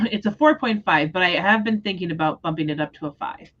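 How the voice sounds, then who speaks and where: Low voiced woman chatting casually as a book stream host, kinda dull lo-fi audio. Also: a little fast. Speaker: host of a book readalong livestream. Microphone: livestream microphone.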